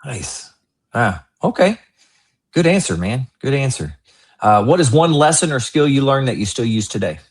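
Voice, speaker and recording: Male podcast-style host, husky, animated intonation, informal delivery. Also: mid-range voice, normal speed. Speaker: interview host. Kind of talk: casual interview.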